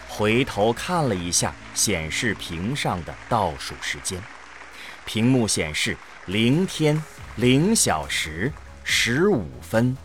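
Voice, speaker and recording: A man narrates an audio drama at a slow, measured pace. His mid-pitched voice moves animatedly through the scripted story, with faint music underneath.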